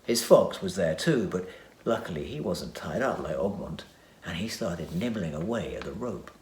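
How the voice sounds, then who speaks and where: A man narrating a children's adventure story with dramatic reading and hushed asides. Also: low voice. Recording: echoey room.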